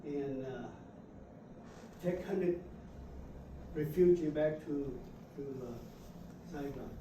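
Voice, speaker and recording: A man's voice: fairly high pitch, warm tone. Older Vietnamese-accented man in an echoey hall. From South Vietnam. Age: 70s. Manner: halting, frequent "uh" pauses, simple broken English. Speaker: veteran guest speaker. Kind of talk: personal war storytelling to an audience. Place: university lecture hall. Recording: echoey hall, distant mic, background noise.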